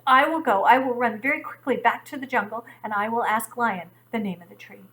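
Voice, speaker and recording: A woman's voice: a little high, fairly quick, natural voice. Gazelle, a woman doing a boastful, speedy young gazelle. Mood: eager. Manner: excited, proud and breathless.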